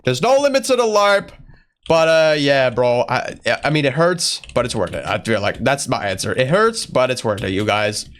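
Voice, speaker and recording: A man's voice: mid-range voice, very animated, normal speed, a bit bright. A man doing casual, rambling, slangy YouTuber Q&A in a put-on, strained voice that imitates another creator. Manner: slangy bro-talk, rambling. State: voice sore from straining. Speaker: YouTuber answering viewer questions. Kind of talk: casual Q&A vlog chat in character.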